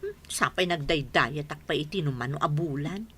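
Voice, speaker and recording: A woman's voice: low-pitched, normal speed, a bit bright, breathy edge. A woman acting in an Ilocano radio drama as a chatty, boastful friend, exclaiming expressively with an Ilocano Filipino accent. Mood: indignant, boasting.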